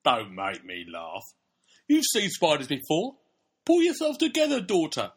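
A man's voice: fairly high pitch, huge pitch swings, unhurried, breathy edge, pitched above the performer's own voice, heavily acted. A man voicing a dismissive, exasperated father in a comic poem, emphatic and scoffing. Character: dismissive, exasperated father scolding his daughter.

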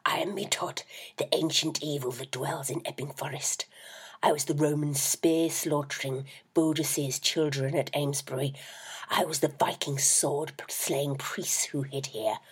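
A woman voicing an ancient evil forest spirit disguised as an old male clergyman, booming and hissing in a husky, breathy voice. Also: fairly even intonation, a little slow, exaggerated voice. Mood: menacing hiss. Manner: booming, roaring, hissing whispers.

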